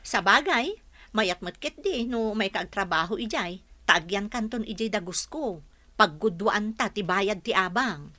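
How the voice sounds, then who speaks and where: A woman's voice: mid-range voice, lively intonation, unhurried, pushed and bright. A woman with an Ilocano accent playing a practical, frank village friend who asks pointed questions in a radio drama.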